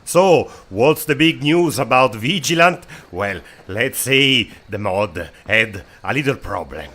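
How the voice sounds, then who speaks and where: A man hosting a game mod show, narrating an informal review with emphatic, halting phrasing. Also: medium pitch, expressive, noisy background.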